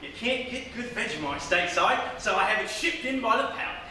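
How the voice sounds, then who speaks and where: An actor playing a brash, jokey Australian outback farmer in a live comedic radio play. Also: male voice, sits high, lively intonation, fairly quick, bright, forceful tone, full character voice. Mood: breezy, sly. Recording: echoey live theatre from far off, faint noise.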